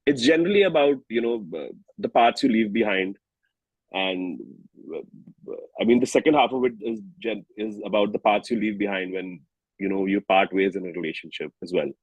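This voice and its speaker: Low-voiced Indian man, slightly husky, halting. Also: no room sound, dull audio. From New Delhi, India. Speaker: singer-songwriter being interviewed. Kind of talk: reflective conversational interview.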